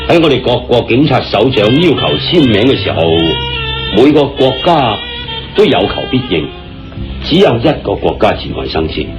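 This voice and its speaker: A man with a Hong Kong Cantonese accent playing a genial, commanding police chief in a radio drama, speaking in a hearty, deliberate, theatrical way. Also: medium pitch, sing-song, close to an everyday voice. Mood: grave, cautioning. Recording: muffled lo-fi radio drama with music.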